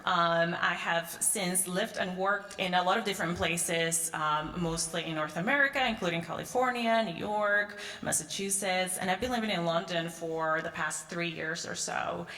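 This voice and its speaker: A woman's voice: on the low side, normal speed, hardly any pauses, bright, forceful tone. Peruvian-born woman speaking in a reverberant hall. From Peru. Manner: conversational, frequent "um" and "right?" tag questions. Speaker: conference speaker. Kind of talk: conference keynote talk. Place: large conference hall. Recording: echoey hall, long reverb.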